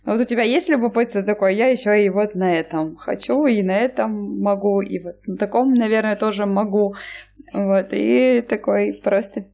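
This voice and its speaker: Lively rambling woman on a podcast. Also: mid-range voice, normal speed. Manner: lively, rambling, laughs often, restarts sentences. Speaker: podcast co-host. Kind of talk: casual podcast chat.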